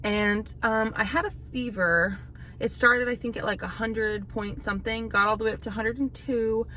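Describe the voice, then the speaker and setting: A woman in her thirties, slightly hoarse from illness, chatting casually to camera at home, rambling with lots of fillers and restarts. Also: on the high side, fairly even intonation, normal speed, sharp tone. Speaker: vlogger. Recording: faint background noise.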